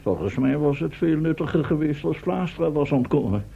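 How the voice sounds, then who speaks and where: An actor in a Dutch radio play playing a fussy, gloomy professor with a formal, sometimes stammering manner. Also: male voice, a little high, lively intonation, normal speed, vocal fry, dark tone, breathy edge, heavily acted. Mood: indignant. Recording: dull lo-fi old radio recording.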